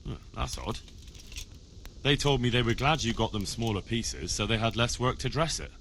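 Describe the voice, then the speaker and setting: A man's voice: mid-range voice, normal speed, fairly bright tone, a little hiss or hum, close to an everyday voice. An actor playing an earnest, inquisitive young medieval man in video game dialogue, polite and plain-spoken. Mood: polite, probing.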